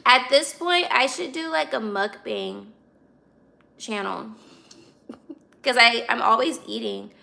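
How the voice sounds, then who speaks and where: A young American woman hosts a casual livestream in a raspy, slightly hoarse voice. Her pitch sits fairly high, with a bright, forward edge and plenty of crackly fry. She speaks directly to viewers in relaxed slang. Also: takes it slowly, pauses often. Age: 20s. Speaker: livestream host.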